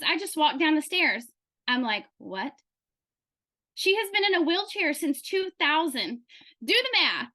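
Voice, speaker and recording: Female meeting speaker, high-pitched and sharp, emphatic storyteller with punchy, insistent phrasing. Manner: punchy, insistent short sentences. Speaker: meeting participant sharing another member's testimonial. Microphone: video call.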